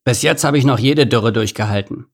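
A man's voice: medium pitch, fairly quick, husky. A man voicing an irritable, threatening rhinoceros chief in a children's storybook, grumbling and scolding. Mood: grumpy.

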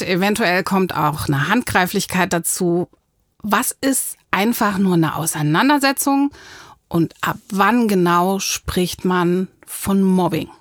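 Woman host with a mid-pitched voice, clear prepared delivery. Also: animated, slow, dry recording, studio quality. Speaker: talk show host. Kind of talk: moderated interview.

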